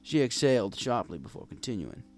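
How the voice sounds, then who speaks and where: A man's voice: mid-range voice, big pitch swings, fairly quick, faint music behind. A man narrating a scripted first-person story as a world-weary, kindly old bartender. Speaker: audiobook narrator.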